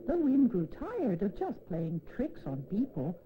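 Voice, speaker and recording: A woman narrating a fable for children as a storyteller, in an expressive, deliberate, scripted style. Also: medium pitch, phone-quality sound. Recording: noisy old 16mm educational film soundtrack.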